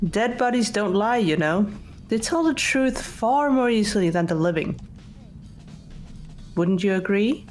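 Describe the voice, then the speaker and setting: A woman's voice: medium pitch, animated, a little slow, pauses often, darkish tone, soft background music, close to an everyday voice. A woman voicing a composed, blunt teenage girl detective in an amateur visual novel dub, speaking in a controlled, matter-of-fact way.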